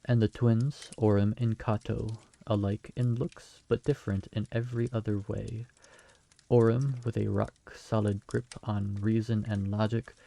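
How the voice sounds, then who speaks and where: A man playing a calm, patient storyteller telling a bedtime tale in a fantasy audio drama. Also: on the low side, gravelly.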